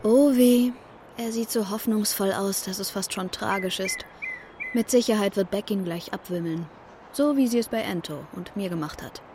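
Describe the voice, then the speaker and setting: A woman's voice: mid-range voice, moderate pace, dark tone, slight background noise, natural voice. An actress playing an observant, wry teenage girl narrating an audio drama in the first person. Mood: wry, sympathetic. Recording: clear vehicle ambience behind.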